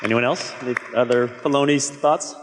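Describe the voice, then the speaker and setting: A man's voice: mid-range voice, fairly even intonation, normal speed. Man moderating a panel from a distance. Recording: echoey hall, faint noise, from a distance.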